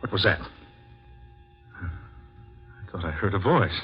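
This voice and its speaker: An actor playing a genteel, formal gentleman who is haunted and easily rattled, in theatrical 1940s radio horror style. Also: low-pitched, lively intonation, slow, slightly rough, full character voice. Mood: frightened. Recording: dull 1940s radio recording, loud music behind.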